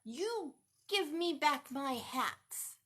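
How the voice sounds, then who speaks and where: A storybook street peddler played by a woman reader, stern and loud. The words are stretched out slowly in a high, forceful call. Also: expressive, exaggerated voice. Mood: angry scolding. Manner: loud drawn-out calling, scolding. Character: male street peddler hawking his caps, stern.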